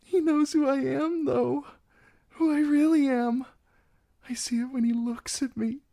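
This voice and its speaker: A woman voicing a frightened young bride who pleads in a high, trembling, breathy voice. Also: fairly even intonation, measured pace, warm tone. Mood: desperate.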